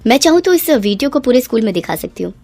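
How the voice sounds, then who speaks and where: A woman's voice: a little high, big pitch swings, fast, vocal fry, a little mellow, pitched above the performer's own voice, heavily acted. Firm, earnest schoolteacher played by a woman. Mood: stern, reproving. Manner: earnest, reproving. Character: firm, principled young female schoolteacher in a cartoon.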